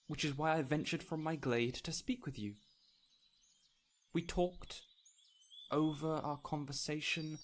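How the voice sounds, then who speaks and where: A man playing an ancient, whimsical fey spirit in an audio roleplay, speaking in a gentle, courtly, theatrical way with lingering pauses. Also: on the high side, lightly acted. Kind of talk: audio roleplay addressed to the listener.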